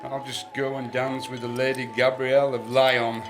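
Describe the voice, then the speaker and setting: A man's voice: medium pitch, flat delivery, moderate pace, natural voice. Man acting a boastful, lusty duke. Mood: jovial, boastful. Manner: bluff, pompous, leering. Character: boastful, lusty, boisterous duke, big hairy bridegroom. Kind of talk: comic period radio play acting. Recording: radio play with clear music behind.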